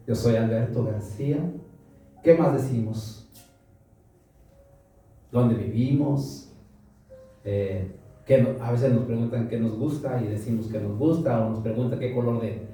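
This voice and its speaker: A man's voice: medium pitch, measured pace, pauses often, dark tone, distant mic. A Mexican man preaching a sermon to a congregation, asking them questions and repeating them back. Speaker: pastor. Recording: roomy echo from afar, music behind.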